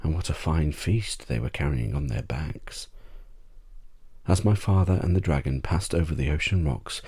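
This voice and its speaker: A man narrating a bedtime story as ASMR, with a measured storytelling delivery. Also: rumbling low, darkish tone, husky. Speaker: audiobook reader.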